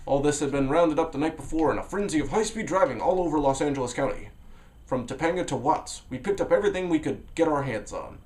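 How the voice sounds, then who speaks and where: A man reading a novel aloud on a vlog, expressive, with sudden leaps in pitch and loudness and a low, hushed voice for inner thoughts. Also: fast. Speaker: vlogger reading a book aloud. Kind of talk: dramatic read-aloud of a novel.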